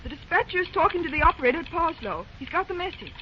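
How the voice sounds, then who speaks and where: On a muffled old radio recording, a woman plays an earnest young daughter. Her voice is strikingly high and swoops expressively, full of worry. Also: very animated, racing, background noise. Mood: anxious, urgent. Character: anxious, earnest young daughter watching the railroad telegraph. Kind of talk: old-time radio drama acting.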